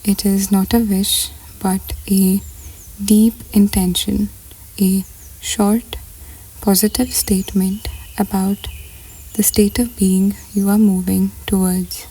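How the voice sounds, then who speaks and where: Very slow, breathy woman guiding meditation. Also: mid-range voice, little pitch movement, low-quality recording. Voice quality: soft, breathy, often near a whisper. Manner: unhurried, measured, with short pauses between phrases. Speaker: guided meditation narrator. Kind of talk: slow, soothing guided meditation script.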